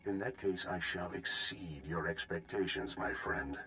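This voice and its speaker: A man playing a proud, protective Jedi Master in a video game, speaking in a measured, formal, defiant way. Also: deep voice, sharp tone.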